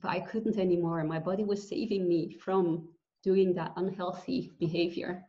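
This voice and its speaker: A woman from Belgium in her forties, a guest telling her story with pauses and fillers over a video call from home. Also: on the low side, steady tone. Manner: earnest, reflective, with many pauses and fillers. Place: bright room at home. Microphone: video call webcam. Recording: dull lo-fi video call audio.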